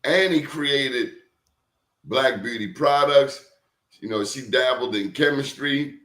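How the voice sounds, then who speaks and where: A man's voice: medium pitch, slow, a bit bright, roomy sound. A man talking as a professor guest in casual conversation, telling emphatic stories and throwing out rhetorical questions.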